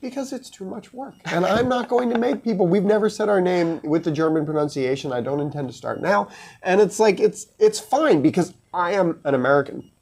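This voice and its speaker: A man from New Jersey co-hosting a podcast, chatting casually in an animated, rambling way with lots of fillers. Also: high voice, a little fast, vocal fry. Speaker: podcast co-host. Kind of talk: casual chat.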